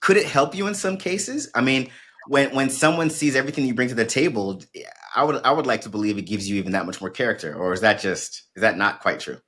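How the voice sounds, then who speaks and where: A man's voice: medium pitch, fast talker, fairly bright tone, breathy edge. A man hosting an interview, asking questions in an encouraging, affirming way and often repeating his phrases. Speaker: podcast host. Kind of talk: interview conversation.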